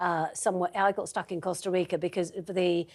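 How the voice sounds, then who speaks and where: A woman's voice: lowish voice, little pitch movement, fairly quick. Conversational woman on a news panel. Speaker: panellist on a news discussion show. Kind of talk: casual studio panel chat.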